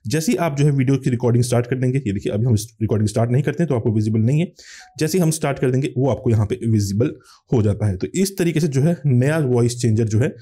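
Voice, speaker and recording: A man's voice: medium pitch, mellow. A man hosting a tech channel, explaining phone features step by step in tutorial commentary.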